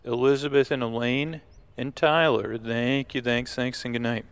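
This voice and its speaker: A man with a creaky voice hosting a sleep podcast, giving low-key, rambling thanks and announcements to listeners. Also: steady tone, normal speed. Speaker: podcast host.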